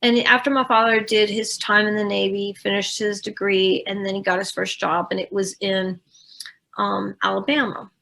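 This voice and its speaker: A woman's voice: mid-range voice, flat delivery, moderate pace, gravelly, fairly bright tone. A woman in her sixties whose first language is French, telling personal stories as an interview guest in a heartfelt, rambling way. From Louisiana, USA. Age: 60s. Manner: heartfelt, rambling with many fillers.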